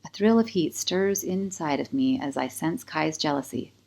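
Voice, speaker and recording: A woman reading first-person fiction as a scripted audiobook, with expressive, dramatic storytelling. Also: low voice, normal speed, creaky. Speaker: author reading own audiobook.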